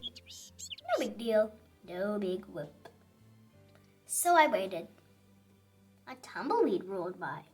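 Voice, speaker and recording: A woman's voice: on the high side, pushed and bright. A woman reading a children's picture book aloud in a theatrical, emphatic narrating style. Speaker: children's story reader. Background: music.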